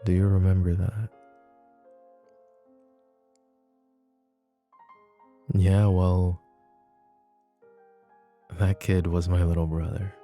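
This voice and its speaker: A man acting a flirty, possessive mafia boss in an intimate ASMR roleplay, speaking softly and unhurriedly in a teasing, world-weary way. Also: deep voice. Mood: quiet, reflective. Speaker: voice actor.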